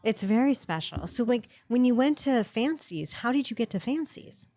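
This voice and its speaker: A woman's voice: fairly high pitch, lively intonation, moderate pace, dark tone, dry recording. A woman hosting a casual podcast chat, blunt and joking, swearing freely, talking into a mic held off to the side. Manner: blunt, swears, jokes and laughs a lot. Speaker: podcast host. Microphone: studio mic held off to the side.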